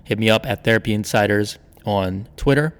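A man's voice: lowish voice, fairly quick, clean tone, big-room sound. A man hosting a podcast, reading sponsor spots and making announcements in an upbeat, chatty way. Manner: upbeat, chatty promo delivery. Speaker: podcast host. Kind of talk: casual podcast ad reads and announcements.

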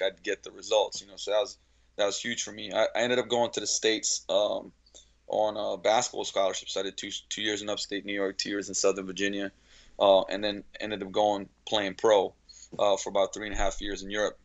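A man's voice: lowish voice, a bit bright, dull audio. A man from Slovenia, a native Slovenian speaker, telling his story casually and informally as a podcast guest, often repeating words as he goes. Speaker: podcast guest, strength coach and gym owner.

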